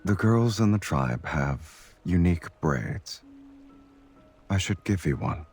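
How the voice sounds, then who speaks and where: Romance game lead: very low, raspy-edged, confident, teasing yet tender, lively intonation. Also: male voice, takes it slowly, soft background music, full character voice. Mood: gently amused. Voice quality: husky. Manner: smooth-talking, playfully teasing.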